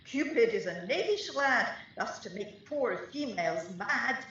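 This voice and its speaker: A woman's voice: fairly high pitch, expressive, measured pace, fairly bright tone, slight background noise. A woman acting a mischievous, taunting fairy sprite in a Shakespearean radio play, speaking sing-song rhymed verse. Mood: sly incantation. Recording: roomy, echoing, distant, slightly dull.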